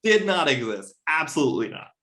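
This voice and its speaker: A man's voice: a little high, big pitch swings, brisk, a bit bright. A man in a casual literary discussion, talking emphatically and often checking in with rhetorical questions.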